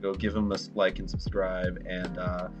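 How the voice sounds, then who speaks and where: A man's voice: low voice, completely flat delivery, moderate pace, over music. A man hosting his own channel in a casual interview, speaking hesitantly with frequent "um" and restarts. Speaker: host of a gaming channel. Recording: dull lo-fi audio.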